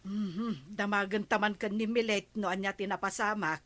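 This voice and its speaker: A woman's voice: medium pitch, fairly even intonation, normal speed, fairly bright tone, no echo, lightly acted. A woman playing a nagging but level-headed villager in a radio comedy drama, speaking with an Ilocano Filipino accent. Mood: reassuring, curious.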